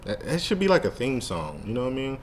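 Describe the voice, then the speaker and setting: A man's voice: medium pitch, huge pitch swings, fast talker, a little hiss or hum. A man hosting a casual fan discussion, talking in a slangy, rambling, sometimes profane way. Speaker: show host.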